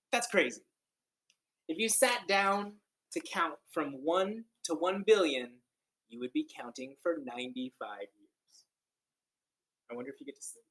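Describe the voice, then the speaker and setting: A man's voice: high-pitched, low-quality recording. Man playfully reading a children's storybook aloud. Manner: playful, expressive, with drawn-out emphasis and joking asides. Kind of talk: children's storybook read-aloud with casual commentary.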